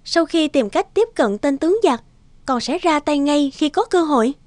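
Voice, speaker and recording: A woman voicing a brave, composed young village daughter, calm and resolute, in a cartoon. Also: sits high, normal speed, no echo. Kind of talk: Vietnamese cartoon dubbing.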